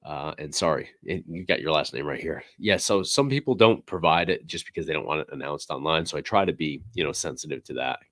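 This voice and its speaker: A man hosting a casual livestream, slightly hoarse and losing his voice, talking in a relaxed, rambling way with many fillers. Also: extremely low pitch, fairly quick, few pauses, dry recording, clean studio sound. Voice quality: raspy. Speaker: livestream host.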